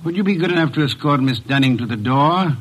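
Radio drama lead: imperious eccentric detective, formal and curt, slightly high, rough-edged voice. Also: male voice, animated, fast talker. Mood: curt, dismissive. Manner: pompous, formal, precise diction. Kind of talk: classic radio drama acting.

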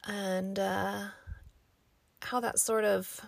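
A young adult woman with a raspy, scratchy voice from allergies, hosting a casual solo podcast in a thoughtful, rambling way with many fillers. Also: mid-range voice, level tone, very slow, no echo. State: hoarse from allergies. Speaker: podcast host.

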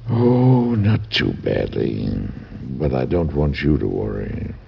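An actor playing a gentle, kindly older president in an old-time American radio drama, speaking with courtly tenderness. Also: male voice, extremely low pitch, very animated, slow pace, creaky, full character voice. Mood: weak, gentle. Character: gentle, kindly older president, devoted husband, later weakening. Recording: dull, noisy vintage radio recording.

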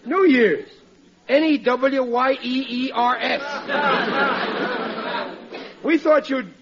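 A man's voice: very high-pitched, takes it slowly, over a noisy crowd, heavy background noise, full character voice. An American man in middle age acting a blustering, gullible working-class riveter in a classic radio sitcom, exclaiming in ungrammatical speech. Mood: eager, pestering. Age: middle-aged. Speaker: comic lead actor. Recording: muffled vintage radio recording.

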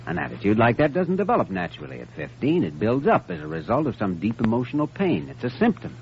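An actor playing an earnest, compassionate young doctor with a probing manner, in vintage radio drama style. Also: male voice, medium pitch, wildly swooping pitch, quick pace. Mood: concerned. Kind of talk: 1950s radio drama acting. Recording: muffled, faintly noisy vintage radio recording.

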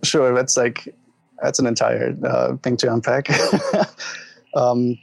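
A young man with a German accent speaks as an interview guest, open about himself and often pausing to find his words. His voice carries a gravelly fry. The sound is dry and close, with no room around it. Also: mid-range voice, flat delivery, dry recording. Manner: open, halting, laughs at himself. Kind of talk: candid personal interview.

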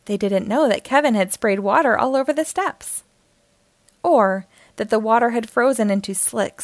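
A woman's voice: a little high, no echo. A woman narrating a storybook aloud for young children.

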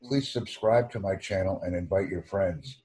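A deep, husky American man with a mellow, dark tone, speaking slowly and formally. He lays out each phrase with careful, over-enunciated precision, as if addressing a class. The sound is that of a computer mic on a video call. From United States. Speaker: YouTube channel host.

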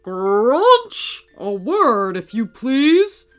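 A woman voicing a kindly but stern old Santa in a children's storybook, with a measured, authoritative manner. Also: mid-range voice, sing-song, fairly bright tone, soft background music.

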